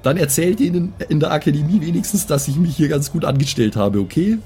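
Mellow male tabletop player. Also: fairly high pitch, fast, faint music behind. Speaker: tabletop role-play player. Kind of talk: casual improvised game talk.

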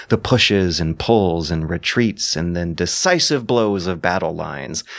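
A man narrating scripted explanatory commentary in an articulate, emphatic way with deliberate phrasing. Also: low-pitched. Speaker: narrator and adapter of an audio drama.

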